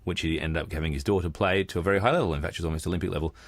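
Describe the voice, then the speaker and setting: Deep-voiced Australian man, podcast co-host. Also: very fast, no room sound. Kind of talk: casual podcast chat.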